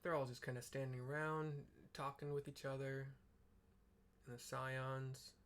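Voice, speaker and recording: A woman's voice: very deep voice, little pitch movement, a little slow. A woman giving casual, offhand playthrough commentary and describing game scenes for viewers. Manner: informal, offhand.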